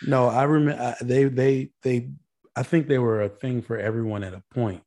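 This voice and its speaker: A man's voice: mid-range voice, steady tone, normal speed, dark tone. A man in his mid-thirties co-hosting a casual podcast, blunt and joking, with some swearing. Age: 30s. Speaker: podcast co-host.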